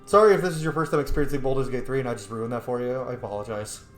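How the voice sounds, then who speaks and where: A man's voice: medium pitch, rapid-fire, faint music behind. A man giving casual, rambling gameplay commentary as a Let's Play host, informal and chatty. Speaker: video game streamer, Let's Play host. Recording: roomy mic with faint noise.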